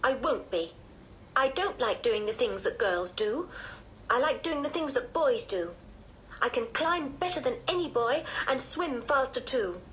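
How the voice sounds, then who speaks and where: Woman as a defiant tomboy girl, raised pitch, blunt, emphatic, proud, children's storybook. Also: big pitch swings, measured pace, a bit bright, a little hiss or hum, full character voice.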